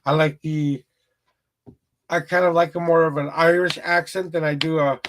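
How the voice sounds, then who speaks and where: A man's voice: fairly high pitch, steady tone, normal speed, a bit bright. A man with a rural Minnesota Midwest accent, rambling through a casual livestream tarot reading with frequent restarts. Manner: rambling, repeats words and restarts often. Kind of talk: casual livestream chat with viewers.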